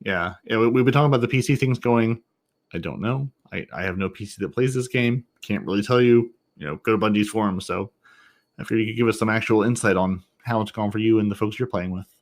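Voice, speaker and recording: A man's voice: on the low side, quick pace. A man hosting a gaming podcast, chatting casually in a wry, jokey way. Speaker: podcast host.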